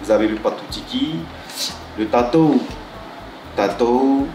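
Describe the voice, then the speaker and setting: Tattoo guy from tahiti, halting and restarting a lot, echoey room, music clear behind. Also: medium pitch, warm tone. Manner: hesitant, halting with restarts and fillers. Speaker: tattoo artist. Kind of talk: talking-head interview.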